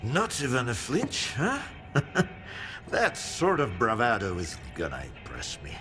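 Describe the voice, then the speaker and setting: A man's voice: medium pitch, huge pitch swings, a little slow, sharp tone, soft background music, heavily acted. An actor playing a boozy, talkative scientist in a video game, rambling and sardonic as he philosophizes over vodka. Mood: musing, teasing. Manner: rambling, sardonic, theatrical toasting. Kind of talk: video game cutscene acting.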